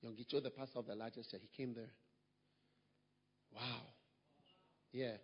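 A man's voice: mid-range voice, fairly even intonation, moderate pace, breathy edge. A man pastor preaching a sermon, emphatic and repetitive, with sudden surges in volume. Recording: long hall reverb, dull sound.

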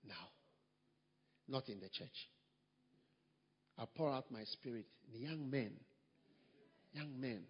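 A man's voice: medium pitch, slow, pauses often, husky. A man pastor preaching a sermon, emphatic and repetitive, with sudden surges in volume. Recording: long hall reverb, dull sound.

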